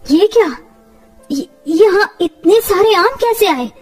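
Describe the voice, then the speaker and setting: A woman's voice: very high-pitched, lively intonation, takes it slowly, a little mellow, heavily acted. A woman playing a poor, devoted young mother in a cartoon story, plaintive and emotional. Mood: startled. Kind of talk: dramatised children's cartoon story. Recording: distant-sounding, music underneath.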